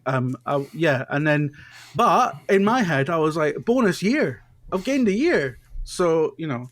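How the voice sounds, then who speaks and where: A man's voice: fairly high pitch, very animated, measured pace, dry recording. A British man in his thirties, a jokey podcast co-host who laughs often and pokes fun at himself. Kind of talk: casual podcast chat.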